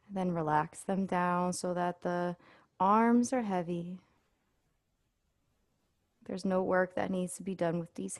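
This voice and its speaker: A woman leading a guided body scan meditation as an instructor, speaking in a soothing, unhurried way with pauses. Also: on the low side, vocal fry, darkish tone, dry recording.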